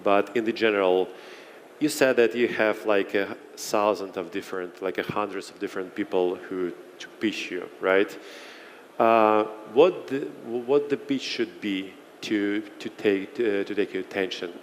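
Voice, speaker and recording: A man moderating a conference panel, talking haltingly and searching for his words. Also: on the low side, fairly even intonation. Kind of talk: panel discussion. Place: conference hall. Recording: reverberant hall with faint noise.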